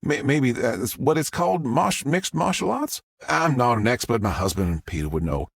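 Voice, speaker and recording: A man voicing an excitable middle-aged Southern mother who rambles through her story in a drawl. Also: mid-range voice, normal speed, gravelly, slightly rough, no room sound, studio quality, pitched above the performer's own voice, full character voice. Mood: excited. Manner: rambling, breathless storytelling with restarts.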